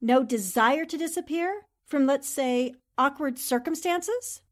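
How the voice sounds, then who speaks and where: A woman acting a determined female reporter, 1940s radio drama, high voice, inquisitive, crisp, composed. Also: measured pace. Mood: probing. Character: brisk, inquisitive, determined newspaperwoman. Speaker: voice actor in an audio drama.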